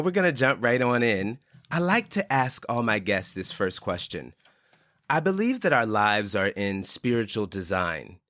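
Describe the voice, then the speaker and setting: A man's voice: lowish voice, a bit bright, no echo. Affirming male podcast host, dry sound. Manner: affirming, spiritual framing, short reactions. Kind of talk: casual interview conversation.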